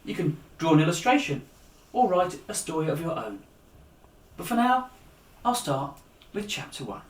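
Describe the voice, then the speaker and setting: Male storyteller for young audiences, animated and direct, recorded with noticeable room echo. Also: on the high side, moderate pace. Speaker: author and storyteller. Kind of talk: story reading aloud for young listeners. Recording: echoey room.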